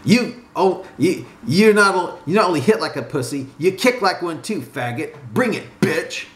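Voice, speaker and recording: A man voicing a furious brawler in his fifties in a story, shouting mocking taunts. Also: medium pitch, very animated, a little slow. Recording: roomy echo, some background noise.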